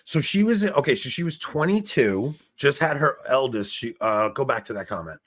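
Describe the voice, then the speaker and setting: A man in his forties with a smoky, gravelly voice, co-hosting a casual chat and telling stories with lots of laughs and restarts. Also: medium pitch, moderate pace. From New York. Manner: animated storyteller, laughs often, trails off and restarts. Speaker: podcast co-host.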